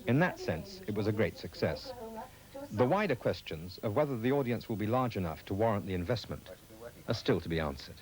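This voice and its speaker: A man's voice: lowish voice, big pitch swings, fairly quick, rough recording. A man delivering scripted news commentary as a television reporter.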